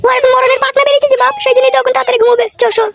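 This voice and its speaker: An actor voicing a jealous, nagging cartoon first wife with a scornful, accusing manner, over loud music. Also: extremely high pitch, little pitch movement, racing, vocal fry, heavily acted. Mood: bitter. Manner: accusing, sarcastic. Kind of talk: comic Bengali cartoon dubbing, bickering.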